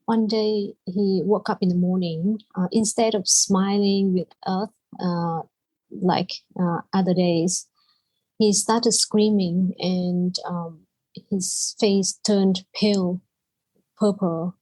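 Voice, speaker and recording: A woman's voice: mid-range voice, fairly even intonation, takes it slowly, mellow, no echo, rough recording. A woman from Vietnam, a native Vietnamese speaker with a Vietnamese accent, telling her personal story as a podcast guest.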